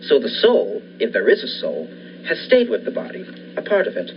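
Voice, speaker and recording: A man's voice: mid-range voice, wildly swooping pitch, fairly quick, vocal fry. A young man playing an obsessed, commanding scientist and surgeon in the style of a 1940s radio thriller. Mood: insistent, clinical. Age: young adult. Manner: commanding, insistent. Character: obsessed scientist surgeon bent on reviving his dead wife. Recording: dull lo-fi audio, faint noise.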